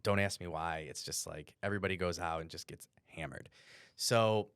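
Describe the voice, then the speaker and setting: An American man from Cleveland telling stories casually on a podcast, animated and profane, with bro-like slang. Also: deep voice, moderate pace, clear, resonant tone, no echo, clean studio sound. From Cleveland, Ohio, United States. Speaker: podcast co-host. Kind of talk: casual storytelling.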